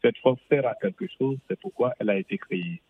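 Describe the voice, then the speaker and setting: A man's voice: mid-range voice, lively intonation, fast, breathy edge. A man calling in to a radio show by phone, stating his view with assurance and repeated emphasis. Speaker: caller. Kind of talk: call-in radio opinion. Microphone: phone line. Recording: tinny phone line.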